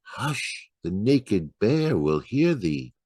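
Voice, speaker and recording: Man voicing a tender, patient old grandmother, slow-spoken. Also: lowish voice, big pitch swings, a little mellow, breathy edge, no echo, studio quality, voice pushed up, heavily acted. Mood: hushed, soothing. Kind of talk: dramatic poetry reading.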